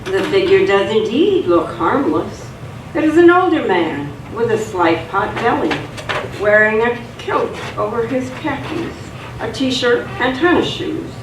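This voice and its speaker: A woman's voice: on the high side, very animated, a little slow. A woman reading scripted narration for a live radio theatre drama. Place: stage performance in a roomy hall. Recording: echoey hall, distant mic, noisy.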